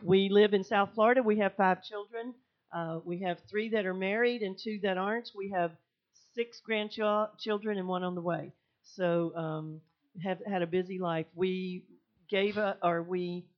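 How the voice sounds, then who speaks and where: A woman's voice: medium pitch, highs cut off. An older woman speaking as a guest at a congregation, describing a healing workshop in a friendly, chatty way with fillers and restarts. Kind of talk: sermon-style talk to a congregation. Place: church sanctuary.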